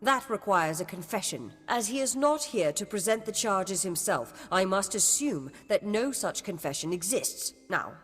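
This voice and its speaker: An actress playing a stern, haughty official in a video game, with imperious, measured, formal delivery and long reverb. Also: female voice, on the low side, slightly rough. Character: haughty female official, stern, dismissive, strictly procedural.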